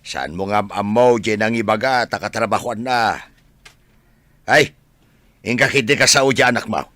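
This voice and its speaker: A man's voice: lowish voice, normal speed, pushed and bright, dry recording. A man playing an exasperated, bossy older village father in a radio drama, scolding and insisting with an Ilocano accent. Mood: insistent, urging.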